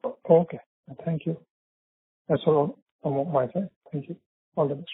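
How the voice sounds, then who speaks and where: Husky Indian man on a phone line. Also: a little high, steady tone, normal speed. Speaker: financial analyst. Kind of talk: asking questions on an earnings conference call. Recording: dry, narrow phone line.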